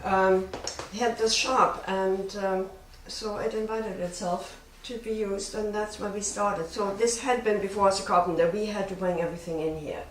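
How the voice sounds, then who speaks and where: An elderly woman, a printmaker recalling her work as an interview guest in a halting, reflective, plain-spoken way. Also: medium pitch, steady tone. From born in Germany, raised in Japan. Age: 70s or older. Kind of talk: unscripted personal recollection. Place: print studio workshop. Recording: echoey, distant room with faint noise.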